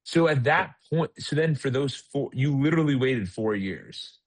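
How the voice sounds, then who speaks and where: A man's voice: mid-range voice, expressive, moderate pace. A man in his late twenties hosting a podcast, asking casual questions full of filler words. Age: 20s. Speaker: podcast host.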